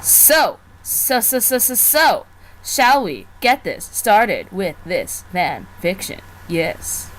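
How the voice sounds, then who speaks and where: A woman's voice: mid-range voice, big pitch swings, takes it slowly, fairly bright tone. A woman hosting a live fan reading with casual, playful chat. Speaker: host of a fan reading stream. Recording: noisy amateur online stream.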